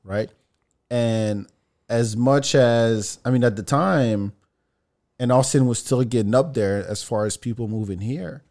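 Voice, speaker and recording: Middle-aged man, French native, guest on a casual talk podcast, lively storyteller. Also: mid-range voice, unhurried. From France. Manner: animated, restarts phrases, laughs easily.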